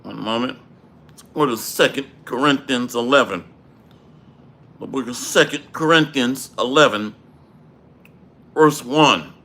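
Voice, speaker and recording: Slow, forceful man with a dry throat. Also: medium pitch. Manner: slow, measured preaching with Hebrew phrases. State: dry throat, clearing it often. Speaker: Bible teacher. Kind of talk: livestreamed Bible lesson. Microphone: livestream microphone.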